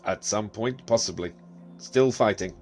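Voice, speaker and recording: A man playing a dutiful, calm station computer that gives clipped technical status reports in a sci-fi role-play. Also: lowish voice, a little slow, faint music behind, pitched below the performer's own voice, close to an everyday voice. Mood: procedural.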